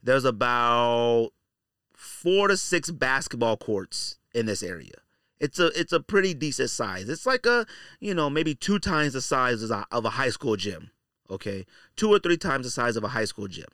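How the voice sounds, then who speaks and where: A middle-aged man hosts a casual podcast with a bright, forceful voice and very lively pitch. He rants in slangy, opinionated bursts and hammers points by repeating them. The sound is dry and close. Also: normal speed, dry recording. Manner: animated ranting, slangy, repeats points for emphasis. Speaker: podcast host.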